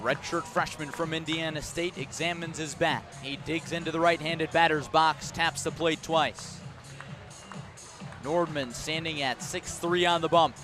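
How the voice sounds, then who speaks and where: A man with a notably high voice and an American accent delivers live baseball radio commentary. He builds drama with short, clipped phrases. Faint music drifts in behind him from the ballpark. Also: fairly even intonation, a little slow, a crowd in the background. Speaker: baseball play-by-play announcer.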